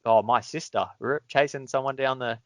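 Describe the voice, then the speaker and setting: A man's voice: medium pitch, steady tone, moderate pace, dry recording, lo-fi sound. A man hosting a podcast, easygoing and rambling, often laughing along. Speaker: podcast host. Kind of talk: casual podcast chat.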